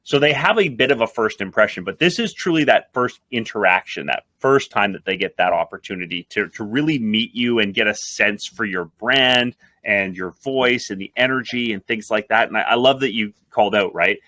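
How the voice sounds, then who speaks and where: A man hosting a podcast, talking in an excited, enthusiastic conversational way. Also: on the low side, normal speed, few pauses, dry recording. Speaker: podcast host, marketing advisor.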